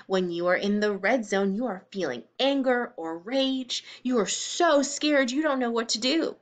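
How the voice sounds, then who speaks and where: A woman giving a read-aloud lesson for young children, explaining and questioning in simple, expressive phrases. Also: a little high, unhurried. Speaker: teacher reading aloud to children.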